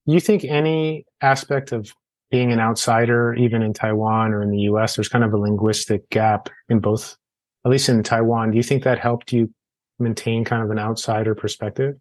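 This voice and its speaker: A man's voice: medium pitch, fairly even intonation, moderate pace, no echo. A man interviewing a writer, asking long, winding questions with restarts.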